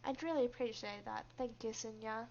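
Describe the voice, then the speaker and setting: A woman voicing a reserved, guarded young female office worker, narrating a visual novel in a subdued, measured way. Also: on the high side, a little mellow. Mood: reserved, polite. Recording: dull, lo-fi microphone.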